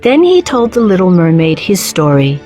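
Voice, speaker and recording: A woman reading a children's storybook as narrator, with measured, clearly phrased delivery. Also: on the low side, sing-song, warm tone, faint music behind.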